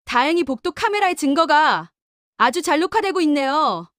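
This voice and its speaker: A woman voicing a composed heroine who speaks calmly and precisely in an audio drama. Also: sits high, moderate pace, no room sound, clean studio sound. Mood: cold. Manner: calm and precise. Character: heroine, composed successful daughter-in-law.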